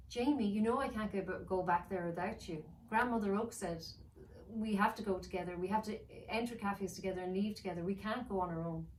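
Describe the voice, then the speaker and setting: A woman's voice: mid-range voice, fairly quick, natural voice. A woman reading the part of a fearless, insistent young girl in a children's story, questioning and urging. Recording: roomy, distant mic, faint noise.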